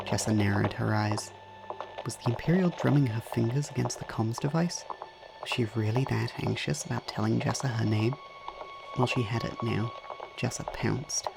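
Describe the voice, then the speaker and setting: A man's voice: mid-range voice, normal speed, creaky, background noise. A man narrating a scripted dramatic story as the narrator of an audio drama.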